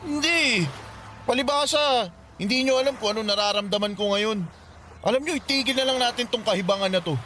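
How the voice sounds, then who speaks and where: An actor with a Filipino accent playing a hurt, stubborn, resentful teenage son in radio drama style. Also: male voice, very high voice, a little fast, bright, forceful tone, a little hiss or hum. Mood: angry, bitter.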